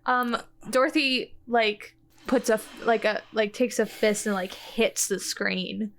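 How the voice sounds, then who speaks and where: Excitable woman playing a tabletop game. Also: on the high side, slow, slight background noise. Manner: excitable, flustered chatter. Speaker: player. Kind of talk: tabletop roleplay chat.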